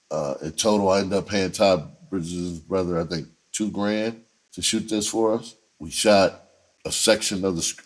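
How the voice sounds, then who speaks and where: Middle-aged male storyteller, low-pitched and raspy, loose slangy delivery, off-the-cuff and meandering. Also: low-quality recording. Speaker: entertainer and filmmaker telling his own story. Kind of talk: casual storytelling.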